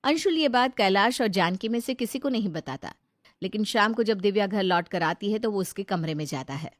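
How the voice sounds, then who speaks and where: A woman narrating a Hindi moral story in a steady, plain storytelling manner. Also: medium pitch, a little fast, dry recording, studio quality.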